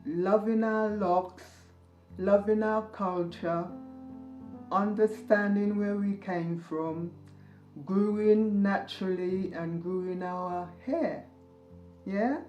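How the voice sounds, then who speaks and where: A woman in her sixties telling her own story in an interview, slow and searching, pausing often. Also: medium pitch, soft background music. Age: 60s. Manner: slow, searching, with pauses and soft laughs. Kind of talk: reflective personal storytelling. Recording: roomy, slightly dull recording.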